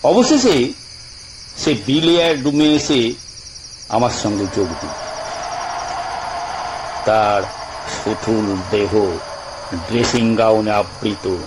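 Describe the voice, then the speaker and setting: A man narrating an audio story in the first person, with theatrical, deliberate phrasing and frequent pauses. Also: mid-range voice, noisy background. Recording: music bed behind narration.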